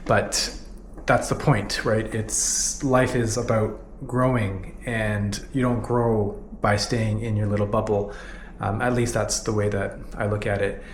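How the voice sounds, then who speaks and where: A man's voice: lowish voice, little pitch movement, a little slow, reverberant, slight background noise. Earnest Canadian man in his thirties. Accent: Canadian English. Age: 30s. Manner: earnest, candid, frequent "you know" fillers. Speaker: vlogger. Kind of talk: reflective personal vlog narration.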